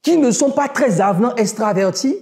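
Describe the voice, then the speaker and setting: A man's voice: very high voice, animated, moderate pace, darkish tone. A man with a West African French accent, talking in a casual podcast about online business, emphatic and motivational, speaking straight to listeners.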